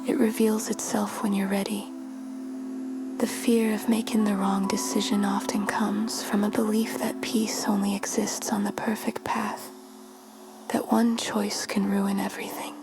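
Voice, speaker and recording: A woman in a whisper, guiding a meditation in a slow, soothing way. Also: medium pitch. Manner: slow, soothing, flat delivery. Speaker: meditation guide. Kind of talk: guided meditation. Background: music.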